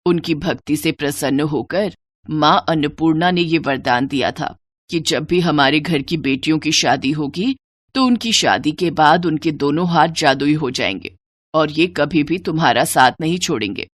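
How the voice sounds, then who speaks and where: A woman narrator acts out a gentle older mother from a moral storybook. Her voice sits low, with a solemn, measured delivery of blessing. The sound is dry. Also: no room sound. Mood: solemn, tender.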